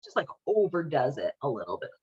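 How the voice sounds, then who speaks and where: A woman giving casual, irreverent commentary as a host, with a sardonic, halting, colloquial delivery. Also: deep voice, very animated, darkish tone, breathy edge, muffled recording. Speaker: host of a video series on leaving religion.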